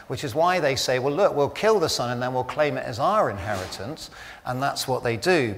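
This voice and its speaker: Husky preacher man with echoing reverb. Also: mid-range voice, a bit bright. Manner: chatty, self-deprecating, joking asides. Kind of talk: conversational sermon. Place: church service hall. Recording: long hall reverb.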